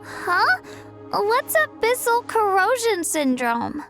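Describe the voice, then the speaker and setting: Caring young child villager, very high pitch, sincere, grateful, video game dialogue, background music. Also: female voice, big pitch swings, slow, a bit bright, full character voice. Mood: hesitant.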